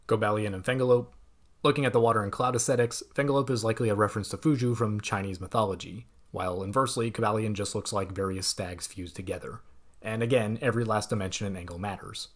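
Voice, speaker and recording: A young man reading a scripted video essay in a measured, explanatory way with dry asides. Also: lowish voice.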